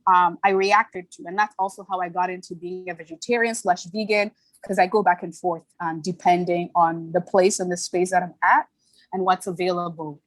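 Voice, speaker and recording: A woman's voice: lowish voice, fairly even intonation, no room sound, rough recording. A young woman born in Ghana and raised in Toronto, a podcast guest telling her story with earnest candor and easy laughs. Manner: earnest, candid, quick to laugh. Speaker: podcast guest, brand founder. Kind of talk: casual interview storytelling.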